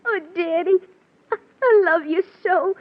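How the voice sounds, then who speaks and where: A woman's voice: very high voice, unhurried. An actress playing a sly, tearful young schoolgirl in a 1940s radio drama, pleading and whiny. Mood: tearful, adoring. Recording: muffled 1940s radio recording.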